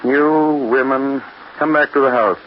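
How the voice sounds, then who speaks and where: A man's voice: mid-range voice, fairly even intonation, a little slow, close to an everyday voice. An actor playing a stubborn, irritable chemist obsessed with his work, curt and imperious in 1930s radio drama style. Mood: impatient, scornful. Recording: dull, faintly noisy old radio recording.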